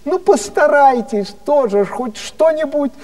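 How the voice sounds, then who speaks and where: Guy doing a motherly bossy soviet lady official, high voice, pleading and sentimental, bit distant from mic. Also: lively intonation, measured pace, a little mellow. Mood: coaxing, bossy. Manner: pleading, emphatic, endearing address. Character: sentimental, earnest female Soviet government minister, motherly and bossy.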